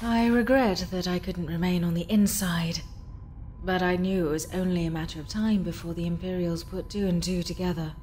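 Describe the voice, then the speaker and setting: A woman's voice: fairly low pitch, fairly even intonation, moderate pace, a little mellow, a little hiss or hum, close to an everyday voice, rough recording. A woman playing a serious, determined rebel informant in an audio drama, businesslike with dry wit.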